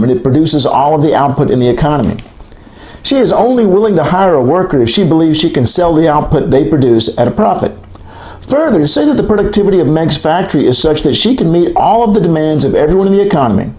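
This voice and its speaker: Higher-pitched man reading scholarly narration. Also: darkish tone. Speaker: author reading an audiobook chapter. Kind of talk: scripted narration of an economics textbook.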